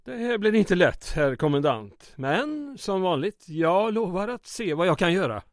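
An actor playing a harried, deferential town mayor in an old-time radio drama, hesitant, apologetic and formal. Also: male voice, high voice, big pitch swings. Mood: flustered, deferential.

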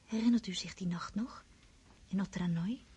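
A woman's voice: medium pitch, normal speed, warm tone, slightly rough. An actress playing an eager, romantic young countess who talks in a girlish way and often drops to a whisper. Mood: dreamy whispers.

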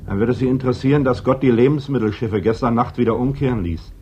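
An actor playing a militant worker who argues pointedly and deliberately, with bitter irony, in a radio play. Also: male voice, mid-range voice, darkish tone, lightly acted. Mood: sarcastic, accusing. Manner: pointed, ironic, deliberate arguing. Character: militant Spanish worker, probing, bitter and ironic. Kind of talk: German radio play dialogue. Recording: dull old radio recording, faint hiss.

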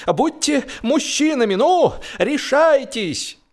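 A man acts a soaked gentleman full of wounded bravado in a comic radio tale. He sounds hoarse and gasping, spluttering as he bluffs, with the pitch leaping upward. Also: big pitch swings, slow, heavily acted. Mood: forced bravado.

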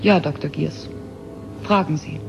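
A woman's voice: low voice, measured pace, gravelly. An actress playing an artificially created alien woman in a German science fiction radio play, emotionless and naive, speaking in short, literal sentences. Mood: detached, certain. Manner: detached, literal questioning in short flat sentences. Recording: dull 1970 radio recording with music.

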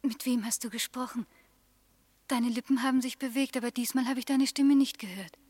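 A woman's voice: fairly high pitch, fairly even intonation, a little fast, darkish tone, slightly rough, no room sound. An actress playing a dreamy, devoted young woman in love in a German radio play, wistful and intimate. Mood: wondering, hushed.

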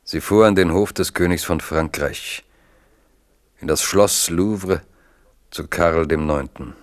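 Deep, slow male narrator. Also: no room sound, studio quality. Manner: grave, measured storytelling. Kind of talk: scripted radio drama narration.

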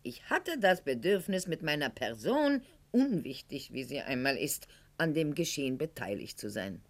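An actress playing a proud, wounded middle-aged aristocratic lady in a radio play monologue, articulate and ironic, with weighted pauses. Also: low-pitched, big pitch swings, full character voice. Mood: wry, reflective.

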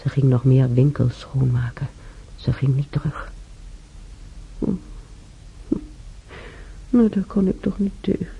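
A creaky, weary, ailing old woman. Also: a bass voice, lively intonation, exaggerated voice. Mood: troubled. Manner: halting, sighing, musing. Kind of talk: radio drama monologue. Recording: dull radio recording, faint noise.

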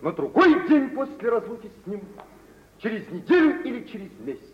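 An actor playing a suave, proud gentleman and reproachful former lover in a Russian radio play, with theatrical, declamatory delivery. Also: very high-pitched, big pitch swings, fairly quick. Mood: probing. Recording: muffled, noisy 1950s radio play recording.

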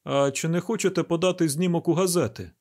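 Man acting needling police press officer, pitched up. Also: quick pace, darkish tone. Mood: suggesting. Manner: pointed, questioning.

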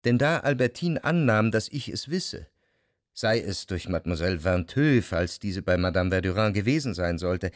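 Introspective gentleman, very lively intonation, dry sound. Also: low voice, normal speed, dry recording. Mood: brooding. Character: jealous, introspective gentleman narrator, tender but analytical. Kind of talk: literary radio drama narration.

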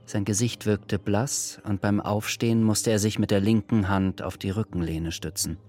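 A male narrator with a low-pitched voice delivers scripted literary prose for a radio drama. Faint music runs underneath his narration. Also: fairly even intonation, moderate pace, a little mellow.

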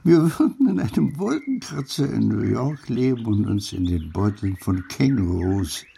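An actor playing a gruff old grandfather who tells fanciful tales in an audiobook, with a gravelly voice. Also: lowish voice, sing-song, moderate pace, mellow, exaggerated voice. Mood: wistful. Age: older. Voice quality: gravelly, husky, creaky. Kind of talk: acted audiobook dialogue.

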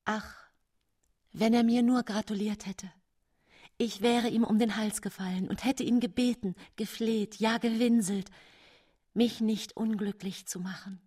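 A woman's voice: fairly high pitch, fairly even intonation, unhurried, dark tone, husky. An actress playing a fretful, falsely tender woman poisoner in a plaintive radio play monologue. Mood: pleading, desperate.

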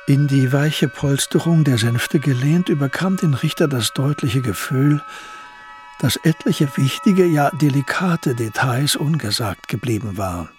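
A man's voice: medium pitch, a little slow, soft background music. A man giving scripted narration for a historical crime radio play.

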